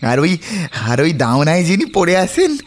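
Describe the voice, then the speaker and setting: High, wailing village boatman. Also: huge pitch swings, moderate pace, slight background noise. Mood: anxious explaining. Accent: rural Bengali. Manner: wailing, repetitive, folksy and deferential. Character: distraught, devout rural Bengali boatman. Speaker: voice actor in audio story. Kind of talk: melodramatic audio drama acting.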